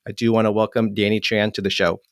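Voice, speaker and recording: Host: man in his forties, smooth resonant low voice, dry close sound, friendly interviewer. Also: flat delivery, fast talker, no echo. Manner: friendly, hesitant with restarts. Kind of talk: hosted interview.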